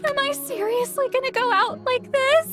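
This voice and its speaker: A woman's voice: very high-pitched, normal speed. A woman playing a grumpy, easily embarrassed young-sounding woman in a video game, huffy and prone to stammer. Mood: flustered. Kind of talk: video game character acting. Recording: clear music behind.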